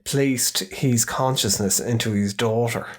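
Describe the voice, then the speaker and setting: Man, rambling podcast co-host, animated, swears, acts out quotes. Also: medium pitch, unhurried. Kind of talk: casual chat.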